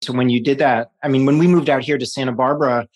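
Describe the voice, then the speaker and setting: A man's voice: medium pitch, fairly even intonation, quick pace, darkish tone. Rambling man in his forties. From New York City. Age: 40s. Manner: rambling, many restarts and fillers, laughs often. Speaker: guest. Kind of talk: casual interview conversation.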